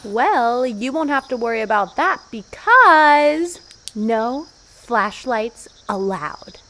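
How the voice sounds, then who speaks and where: Mocking teen girl prankster, high voice, swoops around, kinda slow, spooky audio drama. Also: slight background noise, exaggerated voice. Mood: teasing, bossy. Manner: bossy, teasing, animated. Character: bossy teenage girl prankster, mischievous and mocking. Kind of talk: audio horror play acting.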